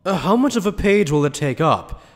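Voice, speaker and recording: A man's voice: sits high, very animated, normal speed. A man playing an earnest, dutiful junior officer in an anime fan dub, polite and easily flustered. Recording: long hall reverb.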